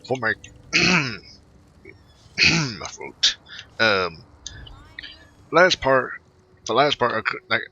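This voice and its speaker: Halting man, bright forceful voice, muffled mic. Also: mid-range voice, big pitch swings. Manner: halting, rambling. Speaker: gameplay video host. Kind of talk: casual game commentary. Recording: muffled home mic with faint noise.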